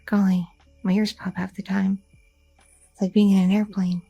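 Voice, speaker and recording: A woman's voice: mid-range voice, flat delivery, normal speed, vocal fry, mellow, breathy edge, faint music behind. A woman playing a chatty, curious young goth girlfriend in an intimate, casual ASMR audio roleplay. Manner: casual, rambling, teasing, slangy.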